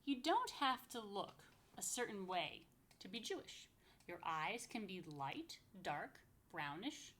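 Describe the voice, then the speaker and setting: A woman's voice: on the high side, a bit bright. An American woman reading a picture book aloud to young children, with chatty asides and playful questions to her listeners. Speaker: rabbi, story time host for young children.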